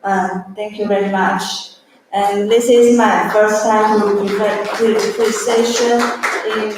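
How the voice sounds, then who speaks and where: Distant halting woman in an echoing hall. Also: mid-range voice, fairly even intonation, background noise. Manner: halting, stumbles over words. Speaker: presenter. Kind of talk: closing a presentation. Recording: distant mic in a very echoey hall with music.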